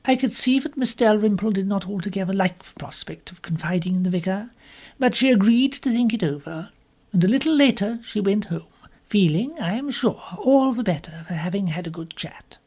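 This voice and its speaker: A man voicing a prim, gossipy spinster who narrates an audiobook story in fussy, measured asides. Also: high voice, lively intonation, a little mellow. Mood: prim, confiding. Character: prim, gossipy English village spinster telling her story. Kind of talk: first-person audiobook narration.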